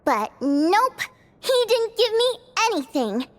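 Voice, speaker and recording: A very high, lively young woman's voice for a video game heroine of the stage. Her delivery swings widely and expressively, with a theatrical, spirited and easily indignant temper. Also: slow pace, full character voice. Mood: indignant, exasperated. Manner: expressive, dramatic, complaining.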